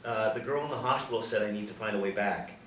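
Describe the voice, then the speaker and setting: A man's voice: lowish voice, fast talker, a bit bright, natural voice. A man, apparently middle-aged, playing an awkward, apologetic nerdy man at a script reading, hesitant and stammering. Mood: earnest, confused. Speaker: actor at a screenplay table reading. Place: indoor room, seated. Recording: echoey room, distant mic, faint noise.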